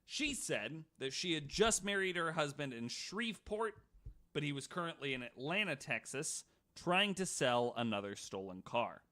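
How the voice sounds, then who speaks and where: A man's voice: a little high, big pitch swings, moderate pace, sharp tone. An American man co-hosting a podcast, telling a story from notes with casual banter. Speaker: podcast co-host.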